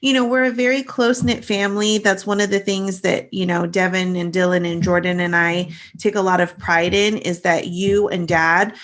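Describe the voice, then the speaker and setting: A woman's voice: on the low side, flat delivery, moderate pace, few pauses, fairly bright tone. Earnest woman co-hosting a family podcast. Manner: earnest, heartfelt, many "you know" fillers. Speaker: podcast co-host. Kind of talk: casual family chat.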